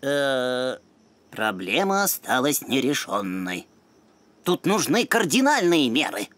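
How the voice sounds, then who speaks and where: Nerdy cartoon dog boy, wordy and formal, pitch moves around a lot. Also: very animated, slow, heavily acted. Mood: learned. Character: bookish, polite, bespectacled young boy dog in a cartoon.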